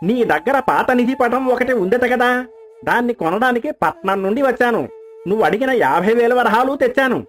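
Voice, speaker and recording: A man's voice: extremely high pitch, expressive, fairly quick, faint music behind. A man voicing a haughty rich buyer from town in an acted moral story. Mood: haughty, insistent.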